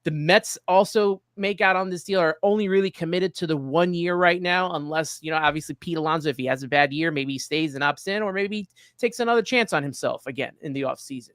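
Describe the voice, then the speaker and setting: Male sports show host, fairly high-pitched voice, close dry sound. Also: brisk, hardly any pauses, fairly bright tone, dry recording. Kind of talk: sports talk commentary.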